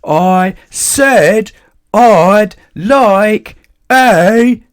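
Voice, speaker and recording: A man's voice: high-pitched, voice pushed up. A man voicing a very noisy male storybook character who bellows and drags out his words. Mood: roaring. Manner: shouting, drawn-out bellowing.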